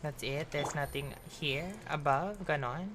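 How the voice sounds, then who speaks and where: Low-voiced Filipino woman streaming a game. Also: measured pace, background noise. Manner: playful, reactive, switches between English and Tagalog. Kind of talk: casual live game commentary. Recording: loud game music behind. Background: game music.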